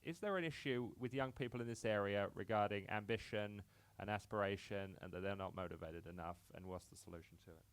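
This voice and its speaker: A man moderating a panel discussion, briskly taking questions and passing them on. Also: fairly low pitch. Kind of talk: chairing a panel.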